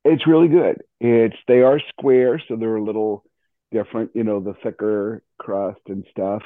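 A middle-aged or older American man chatting casually, halting and amused as he talks. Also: on the low side, fairly even intonation, no echo. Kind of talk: casual chat. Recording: thin phone-like call audio.

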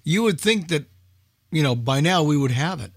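A man's voice: fairly high pitch, lively intonation, moderate pace. An older man chatting casually as a knowledgeable old-time radio enthusiast, hesitant and thinking aloud. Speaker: old-time radio expert on a group call. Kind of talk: casual group discussion.

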